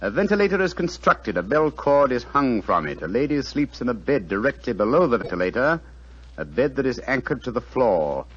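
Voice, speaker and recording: An actor playing a keen, commanding British detective in a 1940s radio drama, speaking in a clipped, deliberate way. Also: male voice, mid-range voice, very animated, a bit bright, slightly rough. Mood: grave, intent. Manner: clipped, incisive, deliberate delivery. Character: keen, commanding male detective, grave and analytical. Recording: muffled 1940s radio recording.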